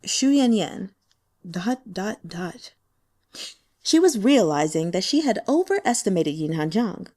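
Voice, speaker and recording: Female audiobook narrator: expressive storytelling, mellow tone, lively pitch movement. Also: measured pace.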